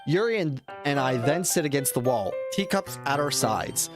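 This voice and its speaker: A man's voice: medium pitch, lively intonation, normal speed. A man streaming a game, reading a visual novel aloud and adding casual, joking commentary. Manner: joking, self-interrupting asides. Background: loud game music.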